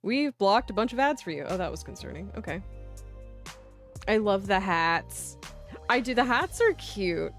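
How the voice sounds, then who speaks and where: A woman's voice: medium pitch, sing-song, moderate pace, pauses often, faint music behind. A woman hosting a livestream of visual novels, chatting casually and playfully and sometimes reading aloud, in an informal way. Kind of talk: casual game stream chat with reading aloud.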